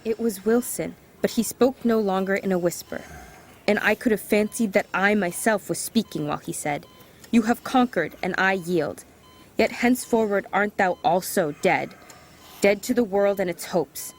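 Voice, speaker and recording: A woman's voice: mid-range voice, moderate pace, soft background music, close to an everyday voice. A woman playing a dutiful American teenage girl in an audio drama. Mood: reading aloud, solemn. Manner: hesitant, apologetic. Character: hesitant, dutiful teenage girl visiting a cranky elder.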